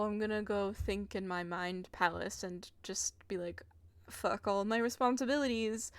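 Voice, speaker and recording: A woman's voice: mid-range voice, no echo. A young adult woman giving casual, giggly, sweary livestream commentary over a game, rambling and exclaiming. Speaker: game streamer.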